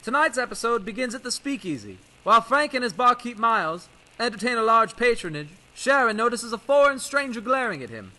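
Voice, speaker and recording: High, bright, dramatic male radio announcer. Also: big pitch swings, fairly quick, few pauses, low-quality recording. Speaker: announcer and narrator. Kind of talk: dramatic scripted old-time radio narration.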